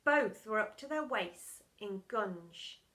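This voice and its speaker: A woman's voice: mid-range voice, animated, slow pace, fairly bright tone. A woman narrating a children's storybook expressively and theatrically, as a primary school storytime reader. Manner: dramatic, theatrical.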